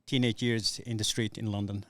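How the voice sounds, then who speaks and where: A man's voice: lowish voice, level tone, brisk, fairly bright tone. A middle-aged man from Somalia, a podcast guest telling his life story in a hesitant, earnest way with many fillers. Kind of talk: casual podcast interview.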